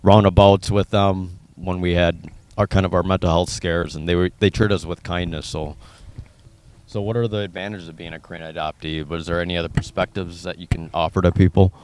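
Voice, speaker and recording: A man's voice: deep voice, fairly even intonation, brisk, a little mellow, slight background noise. An American man interviewing in a casual, loosely phrased, conversational way. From Minnesota, United States. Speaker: interviewer sharing his own experience.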